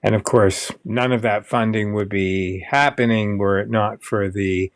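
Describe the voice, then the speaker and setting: A man hosts a podcast interview, asking questions in a courteous, measured, conversational way. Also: lowish voice, no room sound.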